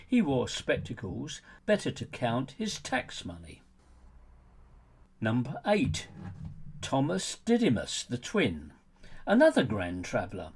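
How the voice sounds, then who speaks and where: A man's voice: medium pitch, slightly rough. A man reading his own book as scripted narration, in a sardonic, mocking way with wry rhetorical asides.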